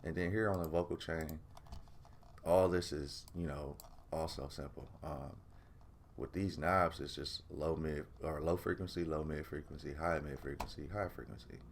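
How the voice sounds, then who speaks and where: A man with a deep voice talking through a vocal mix in a laid-back, rambling way, full of fillers, from his home studio. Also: steady tone, normal speed, gravelly, a little hiss or hum. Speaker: music producer teaching vocal mixing. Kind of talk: casual screen-recorded tutorial.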